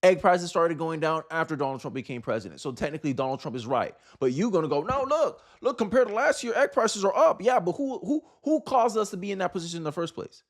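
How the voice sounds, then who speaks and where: A man's voice: high voice, expressive, quick pace, few pauses. An American man giving casual, opinionated political commentary in an exasperated, emphatic way, firing off rhetorical questions.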